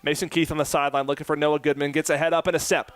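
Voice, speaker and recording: A man's voice: a little high, smooth. Young man calling a soccer match. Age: 20s. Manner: rapid play-by-play that climbs to excited shouting. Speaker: play-by-play commentator. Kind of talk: live soccer play-by-play. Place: outdoor stadium.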